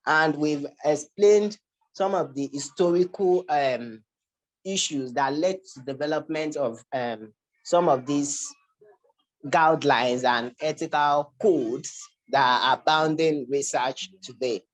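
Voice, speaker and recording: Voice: medium pitch, takes it slowly, poor audio. A young adult from Nigeria with a Nigerian accent, giving an academic slide presentation and often repeating words and restarting phrases. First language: Yoruba. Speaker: research presenter.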